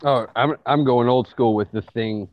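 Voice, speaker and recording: A man of medium pitch chats casually as a podcast guest. His recording is a little dull and lo-fi, with a dry, close sound. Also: normal speed, no echo. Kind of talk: casual chat. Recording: slightly dull, lo-fi connection.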